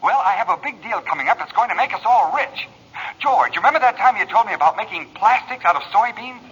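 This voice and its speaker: Telephone voice, vintage radio play. pushy, jovial young businessman, very raspy, swooping delivery. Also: high-pitched, lively intonation, fast, a bit bright, big-room sound, exaggerated voice. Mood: boastful. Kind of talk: 1940s radio drama acting. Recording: muffled old radio, telephone-filtered voice.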